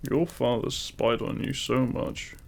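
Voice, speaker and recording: A man voicing a devoted, teasing demon king in an intimate romantic audio roleplay, speaking tenderly in courtly, formal phrasing with a gravelly voice. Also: mid-range voice, unhurried. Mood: protective, tender.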